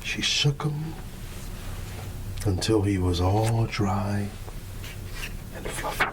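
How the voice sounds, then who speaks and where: A man reading a bedtime story in ASMR style, hushed and drawn out, sometimes dropping into a whisper. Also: fairly low pitch. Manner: hushed, unhurried, drawn-out words with playful asides. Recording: steady background ambience noise. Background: ambient background sound.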